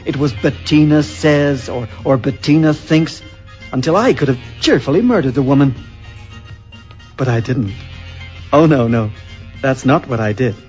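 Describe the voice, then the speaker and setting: An actor playing a grumpy, stubborn, aggrieved husband with simmering menace, exasperated and emphatic, in a radio drama. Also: male voice, fairly high pitch, darkish tone, close to an everyday voice. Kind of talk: old-time radio drama acting and narration. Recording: dull lo-fi recording with music.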